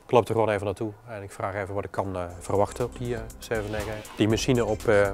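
Low-voiced Dutch gate planner man. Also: little pitch movement, rapid-fire, darkish tone, faint music behind. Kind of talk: documentary interview and work talk. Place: glass-walled airport control tower office.

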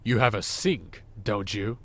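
Man playing a deadpan, sarcastic boss. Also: on the low side, unhurried, no echo. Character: crude, sarcastic male boss, unhappily married. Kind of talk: audio drama acting.

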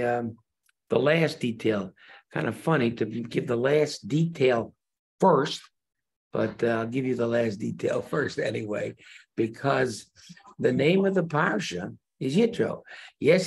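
An older man, a rabbi, giving an unhurried online Torah class, pausing often with fillers and restarts, speaking on a video call from a room by large windows. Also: medium pitch, vocal fry, a little mellow, husky. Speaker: rabbi teaching converts.

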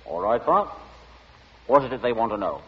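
An actor playing a droll, stubborn, deadpan director in 1940s radio acting style. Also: male voice, medium pitch, normal speed, slight background noise, close to an everyday voice. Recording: muffled, reverberant 1940 radio recording.

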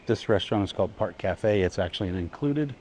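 Male travel vlogger, lowish voice, relaxed and chatty tour guide, light onboard background noise. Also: fast talker. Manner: easygoing, chatty, laughs between remarks. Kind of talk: casual walking tour narration. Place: aboard a cruise ship, indoors and on open decks.

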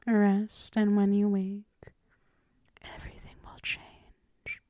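A woman's voice: medium pitch, completely flat delivery, slow, no room sound, dull audio, close to an everyday voice. A woman playing a dominant, teasing vampire mistress in an intimate ASMR roleplay, whispering breathily and lingering over repeated endearments. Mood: soothing. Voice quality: breathy whisper mixed with soft voiced speech. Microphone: close microphone.

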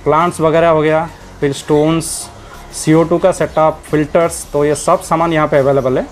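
A man's voice: fairly high pitch, level tone, normal speed, soft background music. A man, casually narrating a walk through an aquarium and pet shop, chatting to viewers as he points out stock and prices. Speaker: aquarium hobbyist vlogger.

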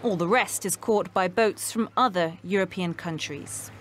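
A woman's voice: on the low side, moderate pace, a little hiss or hum. A woman reporter reading scripted narration for a news documentary. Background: background music.

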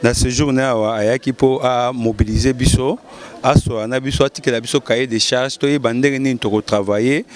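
A middle-aged man, a local political organizer, speaks earnestly in a street interview, rallying listeners and repeating key phrases. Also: medium pitch. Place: outdoors at night in a lit pedestrian square. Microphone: handheld mic held by interviewer.

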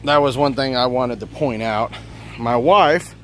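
A man's voice: medium pitch, a little slow, a bit bright, a little hiss or hum. A man talking informally and thinking aloud as he gives a casual hands-on product review outdoors on a handheld camera. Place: outdoors on sandy ground at a campsite. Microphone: handheld camera held by the speaker.

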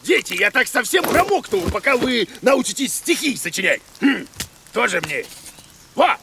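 Grumpy cartoon monster teacher, high-pitched, wildly swooping, blustering, vain. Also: male voice, sing-song, moderate pace, gravelly, pushed and bright, soft background music, full character voice. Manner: pompous, hesitant blustering, exclamatory. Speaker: comic teacher. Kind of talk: children's cartoon voice acting.

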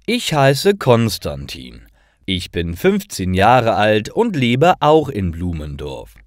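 A man's voice: lowish voice, wildly swooping pitch, slow pace, dry recording, clean studio sound, heavily acted. A man voicing a friendly fifteen-year-old schoolboy in a storybook reading.

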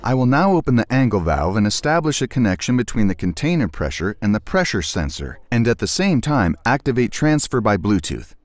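A man reading a scripted technical tutorial as a technician presenter, in a measured, precise and formal manner. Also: mid-range voice, sing-song. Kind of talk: scripted instructional narration.

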